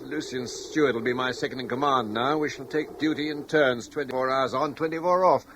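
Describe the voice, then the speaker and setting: An actor playing a calm, commanding middle-aged husband and guard leader, warmly reassuring, in a radio drama. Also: male voice, medium pitch, a little fast, noisy background, natural voice.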